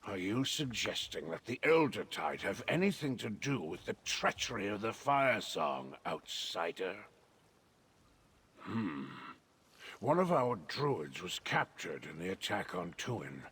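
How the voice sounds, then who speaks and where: A proud island druid with a very husky, low-pitched voice. He speaks slowly and solemnly, guarded toward outsiders, as a character in a fantasy video game. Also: sing-song, fairly bright tone, full character voice. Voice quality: husky, rough-edged. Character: wary, proud male druid of an island circle, grave and guarded.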